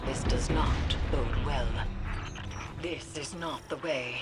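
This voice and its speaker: An actress playing a grave, brooding woman occult expert in a video game, speaking in a solemn, ominous manner. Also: a bass voice. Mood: grave, foreboding. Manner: slow, solemn, ominous, archaic phrasing. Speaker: lunar field agent and mission guide. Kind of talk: dramatic scripted game narration. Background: loud dramatic music.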